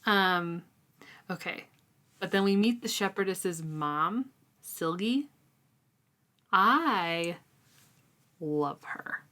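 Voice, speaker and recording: A woman with a slightly low voice talks through a novel at an unhurried, leisurely pace. Her delivery is casual and chatty, full of fillers as she recaps and reacts. It sounds like a relaxed book club chat between friends. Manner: chatty, relaxed, often says um and like. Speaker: book club podcast co-host.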